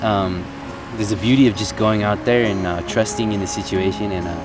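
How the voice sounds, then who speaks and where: A young man talking casually to camera as a vlogger, relaxed and reflective with plenty of ums. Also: lowish voice, fairly quick. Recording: music bed loud underneath.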